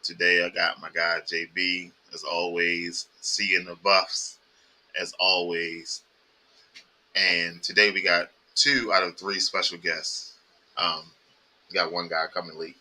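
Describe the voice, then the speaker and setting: A man's voice: low voice, level tone, slow, sharp tone, poor audio. A man hosting a podcast in casual chat, loose and joking, talking over his guests. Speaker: podcast host.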